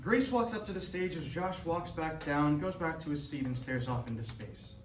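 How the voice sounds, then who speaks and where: A man's voice: fairly high pitch, normal speed. A man giving scripted narration, reading out stage directions at a staged reading. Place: echoey room, staged reading. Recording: echoey distant mic, clear noise.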